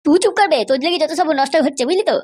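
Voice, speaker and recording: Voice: high-pitched, racing, darkish tone, close to an everyday voice. A performer voicing a hot-tempered, foul-mouthed father and husband who blusters and scolds in a comedy cartoon. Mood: annoyed.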